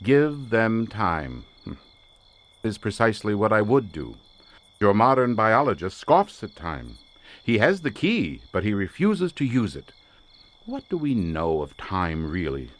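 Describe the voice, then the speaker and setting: An eccentric, obsessive scholar of the occult for a radio suspense play. His low voice rises and falls dramatically as he lectures in grandiloquent, theatrical phrases. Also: wildly swooping pitch, measured pace. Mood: musing, insistent. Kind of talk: old-time radio suspense drama acting.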